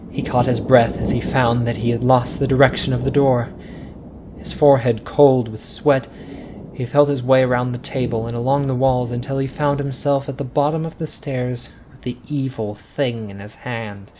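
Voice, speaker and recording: A man narrating a scripted ghost story in a dramatic, suspenseful, deliberate radio style. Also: mid-range voice, fairly even intonation, noisy background. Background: music.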